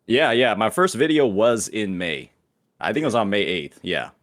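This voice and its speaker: A low-voiced man in his late twenties joins a casual online chat as a guest. He speaks in a halting, filler-heavy way. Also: big pitch swings, fairly bright tone. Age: late 20s. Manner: hesitant, lots of fillers and restarts. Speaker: guest content creator.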